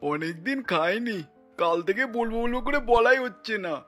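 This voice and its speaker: A mild, food-loving old man from a family cartoon, voiced by a man, with an unusually high pitch. He makes coaxing requests like a hungry grandfather, with light music behind him. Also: normal speed, fairly bright tone.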